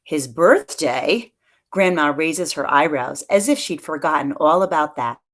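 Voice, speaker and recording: A woman reading a children's picture book aloud in an expressive storytelling manner, like a teacher to pupils. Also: low-pitched, normal speed. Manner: expressive storytelling, acts out dialogue lightly.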